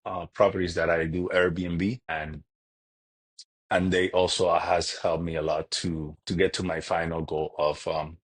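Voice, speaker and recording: Male guest from Cuba, very low husky voice, earnest and halting. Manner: earnest, halting with frequent restarts. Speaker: podcast guest. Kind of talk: casual interview talk.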